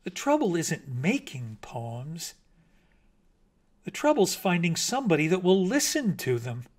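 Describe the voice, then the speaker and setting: A man's voice: high voice, wildly swooping pitch, unhurried, voice pushed up, exaggerated voice. A man voicing a small male bat poet in a storybook, earnest, naive and hesitant, easily wounded. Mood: bitter, hurt.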